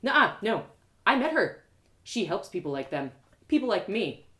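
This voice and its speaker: A woman's voice: mid-range voice, sing-song, normal speed, fairly bright tone. A woman voicing a kind, earnest and outspoken young girl heroine in a storybook reading. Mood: indignant. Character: spirited, kind young girl, indignant at injustice.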